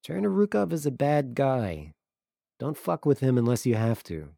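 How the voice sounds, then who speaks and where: A man's voice: mid-range voice, huge pitch swings, normal speed, vocal fry, dark tone, no echo, voice pushed up, exaggerated voice. Man acting world-weary local man, cynical, guarded, blunt, emphatic, audiobook dialogue, close dry sound. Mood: grim warning.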